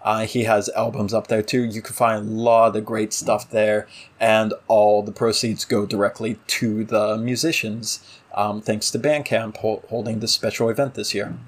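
A man's voice: fairly low pitch, flat delivery, normal speed. A man co-hosting a podcast, casually discussing television with opinionated, emphatic delivery. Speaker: podcast co-host.

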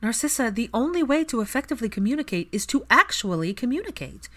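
A woman voicing an earnest young female healer in a story, calm but persistent, reasoning in an insistent way. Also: a little high, fast talker, pitched above the performer's own voice.

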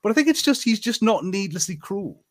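A man's voice: high voice, sing-song, fairly quick. A man co-hosting a podcast, talking casually and thinking aloud as a fan. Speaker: podcast co-host.

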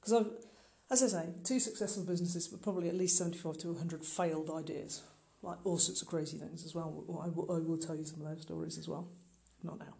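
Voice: medium pitch, fairly even intonation, quick pace, creaky, hardly any pauses, mellow. A middle-aged podcast host speaking informally and directly to the listener, earnest and emphatic. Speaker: podcast host and online marketing business owner. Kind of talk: informal solo podcast monologue.

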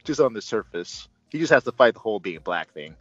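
A man's voice: on the low side, very animated, brisk, muffled recording, music in the background. A man hosting a casual movie review, talking in a joking, rambling way.

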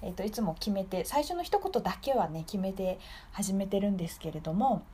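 A woman's voice: mid-range voice, expressive, brisk, warm tone, husky. A woman hosting a radio show in a casual, polite, chatty way, explaining things off the cuff.

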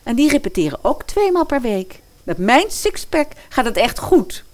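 Vrouw speelt levendige, nonchalante schoolmeid Sneeuwwitje. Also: female voice, fairly high pitch, sing-song, normal speed, a little mellow. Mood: breezy. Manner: casual, offhand. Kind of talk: Dutch radio play fairy-tale parody.

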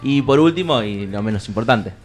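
Casual comedy radio presenter, male, average pitch, light music behind. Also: animated, brisk. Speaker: host.

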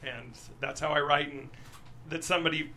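Bright, higher-voiced man talking passionately. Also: steady tone, moderate pace, a little hiss or hum. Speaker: screenwriter, interview guest. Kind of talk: candid talk about own writing.

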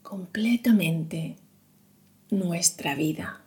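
A woman leads a guided meditation at an extremely slow pace, with long pauses between phrases. Her voice is mellow and slightly low, and her delivery is hushed and soothing.

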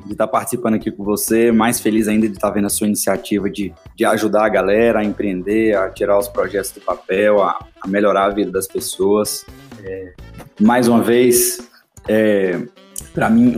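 A man's voice: fairly low pitch, a little mellow. A man from Salvador with a Bahian Brazilian accent, a podcast guest telling his story in a friendly, rambling way with many fillers. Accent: Bahian Brazilian Portuguese. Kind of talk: casual storytelling interview.

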